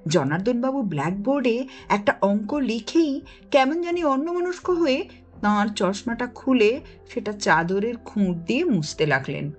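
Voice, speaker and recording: A woman's voice: fairly high pitch, lively intonation, normal speed. A woman narrating a children's story expressively, as an audiobook reader. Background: music.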